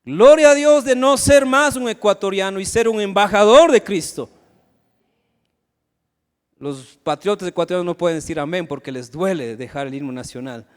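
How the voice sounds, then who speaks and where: A pastor with a high, smooth and resonant voice preaches, often asking his listeners questions directly. His voice carries a long reverb through the church sanctuary. The delivery sounds like a live sermon. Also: moderate pace. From Ecuador. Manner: preaching with rhetorical questions and acted asides.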